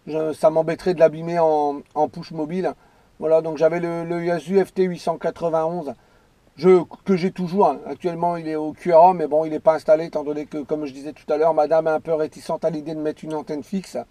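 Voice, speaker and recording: A man's voice: a little high, flat delivery, brisk, warm tone, no echo. A man chatting casually as an amateur radio operator, rambling and repeating his phrases. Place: in or beside a parked car outdoors.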